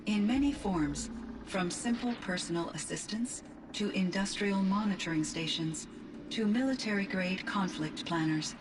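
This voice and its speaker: An actress playing a polite, measured artificial intelligence in a video game, with formal, precise, composed diction. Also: female voice, medium pitch, recorded from a distance, background noise, natural voice. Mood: calm, explanatory. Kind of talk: scripted video game dialogue.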